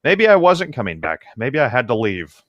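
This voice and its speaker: A man's voice: mid-range voice, wildly swooping pitch, moderate pace, no room sound, highs cut off. A man reading novel narration aloud as a co-host, dropping into casual asides. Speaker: co-host of a comedic read-aloud show.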